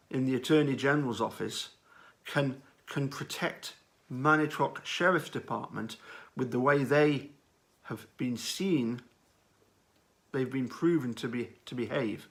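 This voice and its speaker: Halting, deliberate man giving commentary. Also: medium pitch, fairly bright tone. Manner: halting, deliberate, with frequent pauses and repetition. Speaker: true-crime commentator. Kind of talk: unscripted case commentary.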